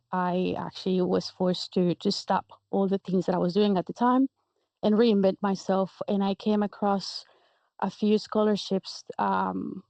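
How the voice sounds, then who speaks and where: A woman's voice: mid-range voice, no echo. A young woman from Guatemala, a guest in an interview, telling her story fluently. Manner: warm laughs, fluent storytelling. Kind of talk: interview answers. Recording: dull, dry sound.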